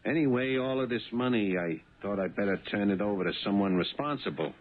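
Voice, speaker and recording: An actor playing an anxious, honest working-class cab driver in a 1970s radio drama, hesitant and stammering. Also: male voice, lowish voice, fairly quick, close to an everyday voice. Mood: nervous, flustered. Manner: hesitant, stammering, nervously flustered. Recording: muffled band-limited 1970s radio recording.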